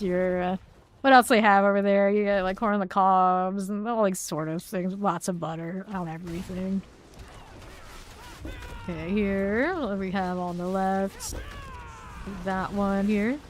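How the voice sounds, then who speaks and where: A woman live-streaming a game, chatting casually and rambling between gameplay callouts, laughing easily. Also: mid-range voice. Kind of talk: casual chat while playing a game live.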